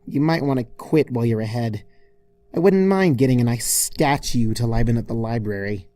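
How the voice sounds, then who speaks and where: A man's voice: medium pitch, moderate pace, warm tone, no room sound, close to an everyday voice. A man voicing an indignant, bossy young female pony in a storybook reading, huffy and sarcastic.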